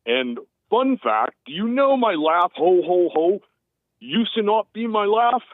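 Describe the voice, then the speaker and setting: A man nearly sixty putting on a jolly, ancient Santa Claus voice with a loud ho-ho laugh, over a tinny phone line. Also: big pitch swings, a little slow, no echo. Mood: jovial. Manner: loud high put-on booming laugh.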